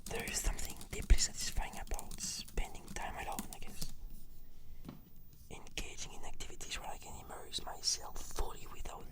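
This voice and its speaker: A whispered ASMR voice giving scripted, fluent answers as a patient in a roleplay, in a measured, reflective manner.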